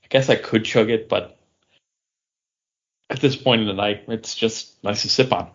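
A man with a somewhat low voice talks in a relaxed, easygoing way as a podcast co-host. The recording has a dull, lo-fi sound. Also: moderate pace. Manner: relaxed, easygoing, some restarts. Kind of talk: casual chat.

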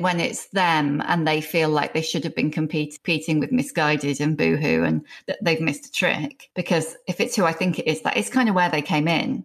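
A woman hosting a chat show, talking in a conversational, gossipy way. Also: low voice. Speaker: host. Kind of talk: casual interview chat.

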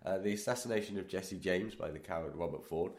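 A man with a cold co-hosting a podcast, joking, teasing and reading aloud haltingly. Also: sits low, darkish tone, roomy sound. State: has a cold. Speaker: podcast co-host. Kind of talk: casual banter.

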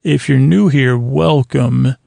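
Very creaky-voiced man, rambling and soothing. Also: mid-range voice, slow pace, dry recording. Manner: meandering, hesitant, takes his time; soothing, lulling, gentle. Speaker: podcast host. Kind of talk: rambling bedtime podcast monologue.

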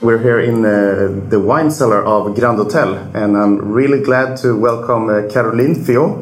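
A man with a Swedish accent hosting a podcast interview in English, asking relaxed questions with frequent fillers. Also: lowish voice, normal speed, reverberant, soft background music. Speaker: podcast host. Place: hotel wine cellar.

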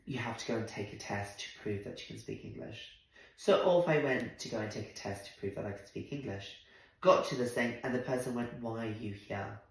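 A man from Zimbabwe, a drag performer guesting on a podcast, telling a story in animated, indignant bursts with quoted dialogue. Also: fairly low pitch, a little fast. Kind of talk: casual conversational storytelling. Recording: very echoey room.

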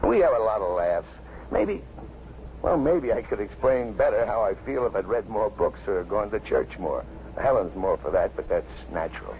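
Gravelly, kindly working-class cop, old radio. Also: male voice, on the low side, animated. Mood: fond, reflective. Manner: folksy, halting, colloquial. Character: kindly, plainspoken working-class New York police officer and family man. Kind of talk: 1950s radio drama acting. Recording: muffled, noisy 1950s radio recording.

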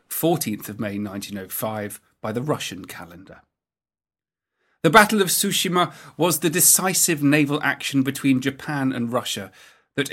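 A British man hosting a podcast, reading scripted history narration in a measured, emphatic presenter style. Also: medium pitch, a bit bright. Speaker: podcast host.

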